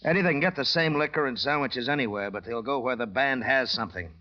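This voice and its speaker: An actor playing a blunt but regretful nightclub manager in a 1940s radio drama, talking in a plain businesslike way. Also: male voice, mid-range voice, fast, close to an everyday voice. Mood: uneasy, frank. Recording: dull old radio recording.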